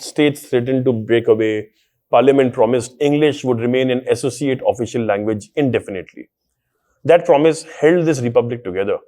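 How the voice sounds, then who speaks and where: A man's voice: medium pitch, warm tone. A man hosting a panel discussion, setting out background and asking pointed rhetorical questions. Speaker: host and moderator.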